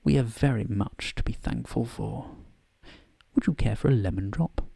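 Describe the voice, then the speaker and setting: A man voicing a gentle, calm old male wizard in a bedtime story, speaking softly in a measured, unhurried way. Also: mid-range voice, vocal fry, husky, dry recording. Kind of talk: softly voiced bedtime story character acting.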